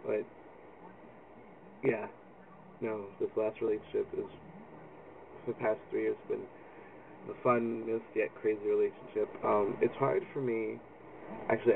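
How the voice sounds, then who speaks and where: A man's voice: lowish voice, creaky. A young man, talking candidly and rambling with lots of ums in a personal video blog. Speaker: video blogger. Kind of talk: personal vlog monologue. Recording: distant lo-fi phone-like audio with loud background noise.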